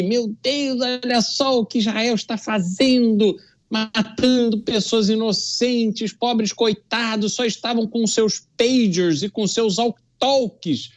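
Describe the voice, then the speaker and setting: Brazilian man giving emphatic political commentary. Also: high-pitched. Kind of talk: opinion commentary.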